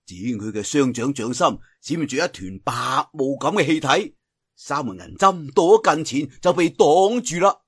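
A man's voice: a little high, animated, normal speed, slightly rough. A man with a Cantonese accent telling a martial arts story theatrically, drawing out phrases for emphasis. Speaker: audiobook storyteller.

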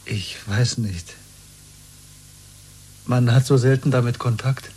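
A reserved man from a 1970s radio play. His mid-pitched voice is mellow and husky, with terse, evasive, guarded delivery. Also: slow pace. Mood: guarded, hesitant. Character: reserved, guarded male office worker and party member. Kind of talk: 1970s German radio drama acting.

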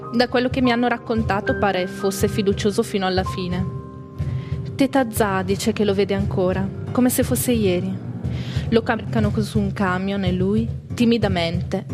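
A woman playing a grieving daughter in a theatrical monologue, recounting memories with measured, deliberate phrasing. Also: mid-range voice, natural voice. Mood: sorrowful recollection. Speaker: stage narrator. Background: musical instruments.

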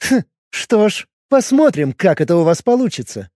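A male narrator voicing a bold, sarcastic young woman, raising his voice high and speaking pertly. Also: sing-song, normal speed, a little mellow, dry recording, studio quality. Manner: raised, pert, mocking tone. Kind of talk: audiobook character voice.

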